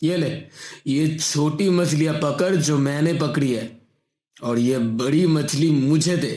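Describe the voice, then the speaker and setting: A man's voice: on the high side, a little mellow. A man voicing a greedy, bullying wrestler with a domineering manner in a cartoon moral story. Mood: mocking, domineering. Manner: slow, taunting, domineering. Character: greedy bullying wrestler, mean to a poor fisherman. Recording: roomy echo on the voice.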